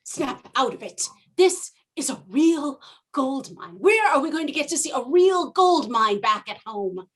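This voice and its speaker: A woman's voice: high voice, sing-song, measured pace, fairly bright tone, heavily acted. An actress in a stage play playing an impatient older tourist who scolds and urges her companion along. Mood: impatient, bossy. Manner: scolding, urging. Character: bossy, impatient older female tourist, eager sightseer.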